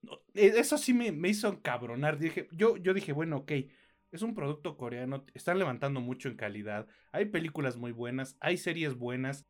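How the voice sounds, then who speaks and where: A man's voice: fairly high pitch, lively intonation, normal speed. A Mexican man co-hosting a podcast, reviewing films and series in a blunt, casual, slangy way.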